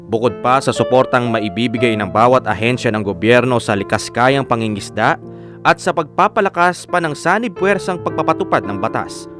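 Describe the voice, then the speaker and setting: An actor plays an earnest young Filipino man telling his own love story for a radio drama. His narration is sincere and measured, at a medium pitch. Clear plucked guitar music plays behind him. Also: natural voice. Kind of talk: scripted first-person radio drama narration.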